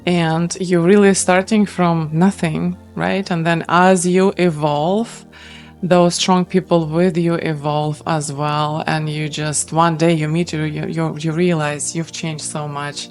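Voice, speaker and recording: Low-voiced woman host. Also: flat delivery, takes it slowly, darkish tone, soft background music. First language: Russian. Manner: enthusiastic, repeats words for emphasis. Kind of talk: casual interview chat.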